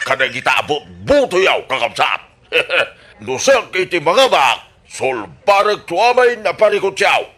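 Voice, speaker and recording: An actor playing a scheming, blustering village candidate in an Ilocano radio comedy drama, with an Ilocano Filipino accent and a theatrical, drawn-out delivery. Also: male voice, fairly high pitch, pushed and bright, heavily acted. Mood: boastful campaigning. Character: scheming, blustering male village election candidate, bitter after losing.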